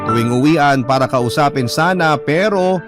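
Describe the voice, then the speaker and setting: A man's voice: fairly high pitch, expressive, normal speed. A man with a Filipino accent reading a first-person love story as sorrowful, earnest dramatic narration. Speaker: radio drama letter reader. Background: background music.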